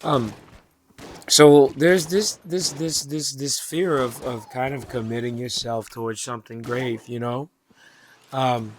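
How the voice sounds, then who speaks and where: A young man in his twenties whose voice is hoarse and raspy, worn down from shouting. He talks in a loose, halting late-night monologue, circling back with fillers and restarts and swearing casually. His voice sits at an ordinary middle pitch for a man. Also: a little hiss or hum. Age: 20s. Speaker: channel host.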